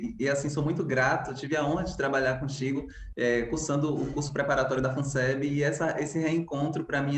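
A man, moderating a conversation politely and informally as host. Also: a little high. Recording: echoey room.

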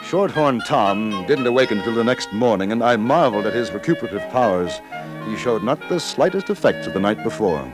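An actor playing an urbane, wry gentleman adventurer in a radio western, speaking in a polite, formal, understated manner. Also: on the low side, lively intonation, a little fast, music in the background, natural voice, poor audio. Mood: measured, wry. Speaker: lead actor and narrator. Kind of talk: 1950s radio western acting, first-person narration.

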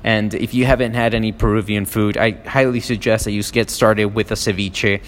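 A man's voice: lowish voice, steady tone, moderate pace, long reverb tail. A man from Miami talking alone as a podcast host, casual and rambling with many ums and restarts, recorded on a phone. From United States (Miami). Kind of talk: solo podcast monologue. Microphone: phone app.

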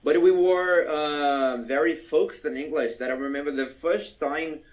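Young adult male host from Brazil, Brazilian Portuguese accent, non-native English, relaxed halting chat. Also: fairly high pitch. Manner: halting, restarts phrases, laughs easily. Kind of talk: casual chat in English with a friend.

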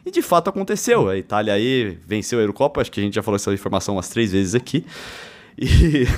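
A man's voice: fairly low pitch, big pitch swings, fast, darkish tone. A Brazilian man hosting a casual football podcast in a jokey way, with some theatrical flair. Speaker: podcast host.

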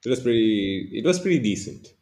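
A man's voice: lowish voice, animated, a little fast, mellow. A man in his early twenties co-hosting a podcast, rambling casually with many fillers. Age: 20s. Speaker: podcast co-host. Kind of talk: casual chat.

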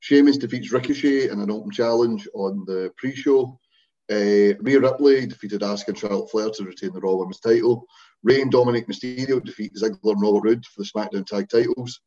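A man's voice: on the low side, fairly quick, dark tone. Man on a dull lo-fi podcast mic. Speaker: podcast co-host. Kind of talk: casual chat.

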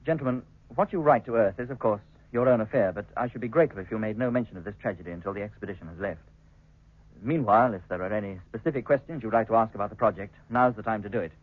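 An actor playing a courteous, confident space expedition captain in a 1950s British radio drama, with formal, assured delivery. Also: male voice, lowish voice, lightly acted. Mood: grave, formal. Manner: polite, formal, measured assurance. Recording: muffled, band-limited 1950s radio recording.

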